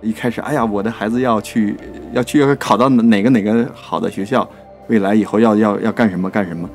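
A man with a somewhat low voice co-hosts a relaxed literary discussion. He speaks casually, agreeing and prompting the conversation along, with music playing faintly underneath. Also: brisk. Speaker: podcast producer and co-host.

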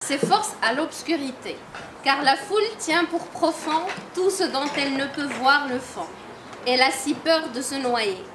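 A woman in her forties reading a prepared literary review aloud from her phone in a measured way, in a salon full of listeners. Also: sits high, expressive, roomy sound, noisy background. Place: large salon room with audience.

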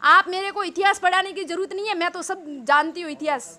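A woman's voice: very high-pitched, quick pace, a loud crowd. A woman with a Rajasthani accent in a heated phone argument, combative and insistent, repeating herself to talk over interruptions. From Jalore, Rajasthan, India.

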